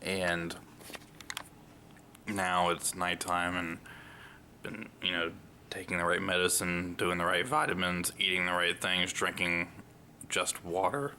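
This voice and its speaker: A man's voice: low-pitched, fairly even intonation, slow pace, pauses often, bright, forceful tone, slight background noise. A young man chatting casually in a vlog, rambling and hesitant with lots of fillers, while sick and getting over a lost voice. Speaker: vlogger.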